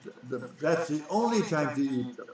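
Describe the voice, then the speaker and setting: Man, 90s, slightly high voice, insistent, repeats himself, stumbles, very echoey. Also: expressive, moderate pace, darkish tone, far from the mic. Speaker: guest, sprout grower inventor. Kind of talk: casual interview.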